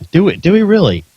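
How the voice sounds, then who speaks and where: A man's voice: sits high, a little mellow, breathy edge, no room sound, highs cut off. A middle-aged American man chatting casually as a podcast host before the show. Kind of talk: casual pre-show chat.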